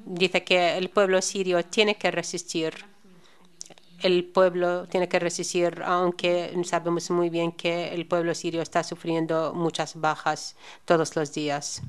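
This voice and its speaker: A woman interpreting speakers at a conference, with a measured, deliberate delivery. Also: fairly low pitch, flat delivery, clean tone.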